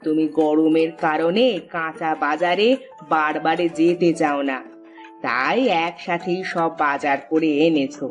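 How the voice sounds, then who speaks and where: A woman voicing a sharp-tongued middle-aged wife who scolds her husband in a cartoon. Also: low voice, fairly even intonation, measured pace, muffled recording, faint music behind. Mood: knowing. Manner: scolding, nagging.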